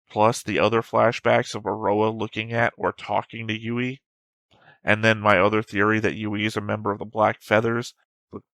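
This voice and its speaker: A man's voice: fairly low pitch, a bit bright, dry recording. A man hosting an anime reaction channel, reading through his notes and theories, rambling and correcting himself as he thinks aloud. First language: English.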